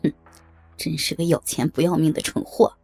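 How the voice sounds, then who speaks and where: Woman acting a low, husky, scheming police chief. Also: fast talker, a little mellow, soft background music. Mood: venomous. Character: guarded, scheming corrupt male police chief. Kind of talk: audio drama acting.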